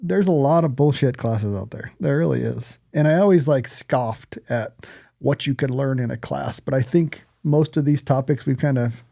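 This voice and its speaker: Gravelly, mellow man chatting on a podcast. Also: medium pitch, moderate pace, no echo, clean studio sound. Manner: blunt, profane. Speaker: podcast co-host. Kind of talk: casual podcast chat about hunting and events.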